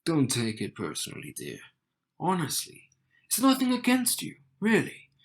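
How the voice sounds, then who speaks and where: A man's voice: on the high side, wildly swooping pitch, heavily acted. A man playing a cocky, wry and slightly bitter young man in an intimate audio roleplay, teasing and smug as he speaks to the listener. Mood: wistful. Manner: slow, teasing, smug, with sighs and soft chuckles.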